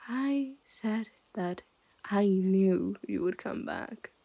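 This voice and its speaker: Yandere kidnapper for ASMR roleplay, female performer: unhurried, possessive, smugly teasing, intimate, with frequent drops to a whisper. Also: mid-range voice, mellow. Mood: possessive delight. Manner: slow, teasing, often dropping into whispers.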